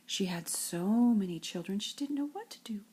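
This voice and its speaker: An older woman with a breathy, husky voice reads nursery rhymes like a grandmother, in an expressive singsong storytelling way. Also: mid-range voice, normal speed. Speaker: grandmother reading to children.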